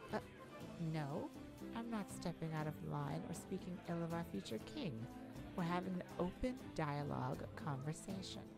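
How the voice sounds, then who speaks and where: A woman's voice: low voice, vocal fry. A woman playing a weary, proud and guarded young half-blood princess in a scripted roleplay audio drama, speaking in formal, wistful phrases. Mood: proud, defensive. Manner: formal, measured, wistful storybook speech.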